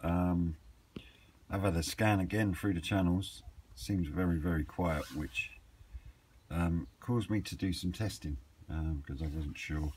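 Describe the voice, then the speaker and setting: A man's voice: sits low, unhurried, a little mellow. A man chatting casually and off the cuff, hesitant as he thinks aloud in a hobby vlog. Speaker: hobbyist vlogger. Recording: clearly noisy amateur camera recording.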